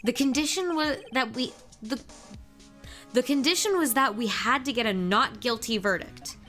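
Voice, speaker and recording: A woman's voice: a little high, moderate pace, fairly bright tone, faint music behind, pitched above the performer's own voice, close to an everyday voice. A woman voicing an earnest young male defense attorney who talks in an encouraging, raised voice.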